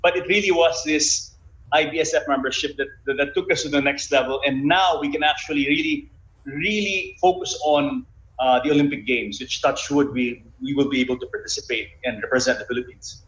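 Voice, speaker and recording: A man's voice: medium pitch, fairly quick, fairly bright tone, roomy sound, slight background noise. A man explaining things articulately as an interview guest in casual talk, heard over dull online call audio. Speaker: guest, bobsled team president.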